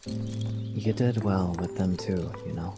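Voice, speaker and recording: A man playing a calm, protective young knight in a fantasy audio drama, speaking softly and reassuringly. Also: deep voice, slow. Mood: sincere, reserved. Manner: soft, reassuring. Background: music.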